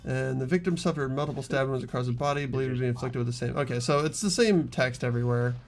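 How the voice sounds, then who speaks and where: A man's voice: mid-range voice, quick pace. A man hosting a casual game playthrough, reading aloud and commenting in a joking, self-mocking way. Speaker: host of a group game playthrough. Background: faint game music.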